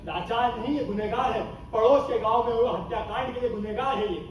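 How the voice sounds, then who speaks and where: Casting: male actor as defiant, sarcastic army captain, high voice, impassioned stage delivery, very echoey hall with clear noise. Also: brisk. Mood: heated, accusing. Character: bitter, defiant army captain weary of war. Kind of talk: theatrical Hindi stage acting. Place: stage of a hall at a student festival. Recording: echoey hall, far mic, noisy.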